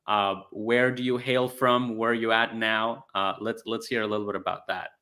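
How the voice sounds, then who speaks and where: A man with a somewhat low voice hosts an interview in a conversational style. His delivery is hesitant and halting as he moves between remarks and questions. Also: flat delivery, bright, forceful tone. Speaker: interview host.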